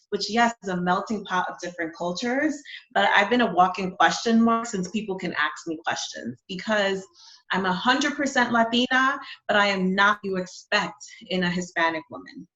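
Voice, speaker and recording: A woman's voice: on the low side, a bit bright. A woman from Honduras talks with conviction and emphasis as an interview guest. She is heard in an echoey room on a dull, lo-fi recording. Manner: confident, emphatic, reflective. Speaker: marketing strategist, guest. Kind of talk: interview conversation. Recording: dull, roomy sound.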